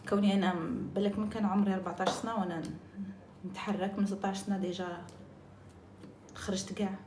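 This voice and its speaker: Fast-talking Algerian woman, echoey room. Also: mid-range voice, little pitch movement, noisy background. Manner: chatty, jumps between topics, mixes in French and English. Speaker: live-stream host. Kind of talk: casual live-stream chat.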